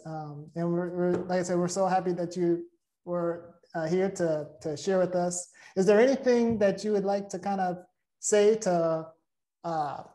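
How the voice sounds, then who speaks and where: A woman's voice: fairly low pitch, mellow. A woman hosting a casual interview, speaking in an appreciative, affirming and conversational way. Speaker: interview host. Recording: roomy, echoey sound.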